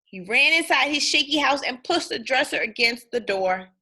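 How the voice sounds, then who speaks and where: A woman's voice: high voice, expressive, sharp tone, breathy edge. A woman reading a storybook aloud for children in a dramatic manner, speaking directly to her listeners. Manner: dramatic, pausing to ask listeners questions. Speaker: storybook reader. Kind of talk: animated children's read-aloud.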